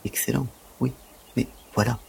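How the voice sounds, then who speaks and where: A man's voice: mid-range voice, fairly even intonation, normal speed, gravelly, slightly rough, heavily acted. A man playing a humble, deferential gymnastics teacher in an audio drama, speaking in earnest, wordy, formal phrases.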